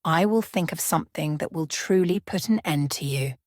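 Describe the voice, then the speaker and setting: A wicked fairytale queen, acted out by a woman reader, vain and menacing. She speaks in a lowish, dramatic voice, recorded close and dry. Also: moderate pace, darkish tone, no room sound, close to an everyday voice. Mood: gloating menace. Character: wicked, vain, jealous witch queen, raging and scheming.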